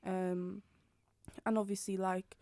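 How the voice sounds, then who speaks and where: A woman's voice: mid-range voice, flat delivery, takes it slowly, dark tone, no room sound. A young woman, a singer-songwriter chatting as a guest and often saying "hundred percent". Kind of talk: casual interview chat.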